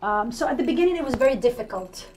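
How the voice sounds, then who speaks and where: Somali-accented woman, forties, higher register, candidate in conversational interview, some background noise. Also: expressive, quick pace, darkish tone. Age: 40s. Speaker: city council candidate, interview guest. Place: indoor TV studio at a desk.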